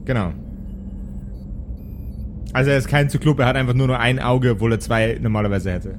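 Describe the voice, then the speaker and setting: A man's voice: mid-range voice, fairly even intonation, brisk. A man narrating as game master in an improvised tabletop audio play, feeling his way through descriptions over a noisy recording. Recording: clearly noisy background.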